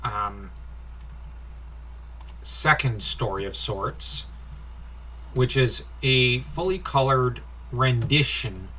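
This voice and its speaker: A man's voice: medium pitch, pushed and bright, a little hiss or hum, rough recording. A man giving an informal comic review in a nitpicky, critical way, given to sighing. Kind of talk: informal comic review commentary with read-out dialogue.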